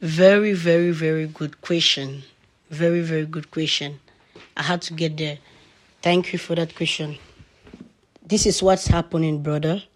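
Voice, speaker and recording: A man's voice: a little high, steady tone, normal speed. A man from Cameroon, a guest earnestly answering interview questions and explaining at length. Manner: earnest, explains at length, often checks with "you understand?".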